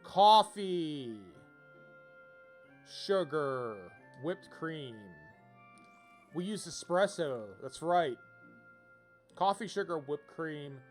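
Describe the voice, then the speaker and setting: A man's voice: a little high, animated, very slow, pauses often, faint music behind. A man giving casual livestream game commentary, rambling as he thinks aloud. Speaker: game streamer.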